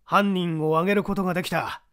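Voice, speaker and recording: A male narrator voicing an excitable middle-aged private detective who talks in an old-fashioned, lordly way. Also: sits high, quick pace, clean tone, pitched above the performer's own voice. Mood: elated. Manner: old-fashioned lordly speech, flustered and effusive.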